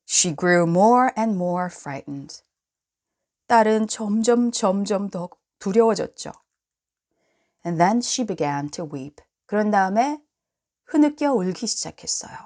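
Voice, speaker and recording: A woman reads a children's tale aloud. Her delivery is expressive and very unhurried, and her pitch moves up and down freely. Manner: expressive, deliberate storytelling. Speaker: storybook reader. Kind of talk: bilingual children's story read-aloud.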